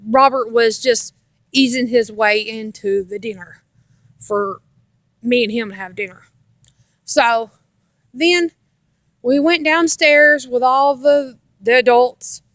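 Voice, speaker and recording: A woman's voice: a little high, a bit bright, no room sound. An American woman telling a casual personal story in a rambling, repetitive way with folksy grammar. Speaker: storytelling vlogger.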